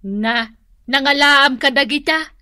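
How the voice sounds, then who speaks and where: A shrill, nagging Ilocano wife. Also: female voice, slow, pushed and bright, no room sound, clean studio sound, exaggerated voice. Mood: scolding. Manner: scolding. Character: nagging, fault-finding village wife. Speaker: radio drama actor. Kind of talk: Ilocano radio comedy-drama acting.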